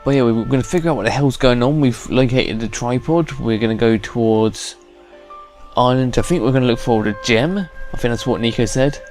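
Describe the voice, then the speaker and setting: A man's voice: mid-range voice, darkish tone. A man giving informal, unscripted let's play commentary, thinking aloud as he goes. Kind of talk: casual unscripted game commentary. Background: game music.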